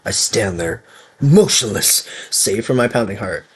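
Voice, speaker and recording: A young man with an Italian accent, reading a visual novel aloud on stream, stumbling over words and breaking into loud outbursts. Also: fairly low pitch, lively intonation, a little slow, slightly rough.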